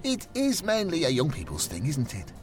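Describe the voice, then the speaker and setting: Kindly elderly woman, acted by a male narrator: gentle, raised lilting pitch, chatty storybook character. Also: wildly swooping pitch, normal speed, faint music behind. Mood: friendly.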